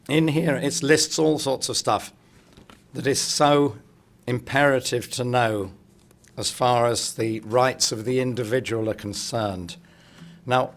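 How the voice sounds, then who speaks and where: Hoarse man opening a conference. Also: mid-range voice. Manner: chatty, jokey, addresses the room directly. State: hoarse, croaky voice. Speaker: speaker opening a political congress. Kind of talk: opening address to a conference audience. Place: hotel conference room.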